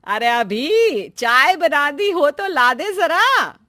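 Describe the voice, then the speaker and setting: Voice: high-pitched, very animated, very slow, bright, forceful tone, dry recording, clean studio sound, exaggerated voice. An actor playing a fussy but caring older mother-in-law in a cartoon family drama.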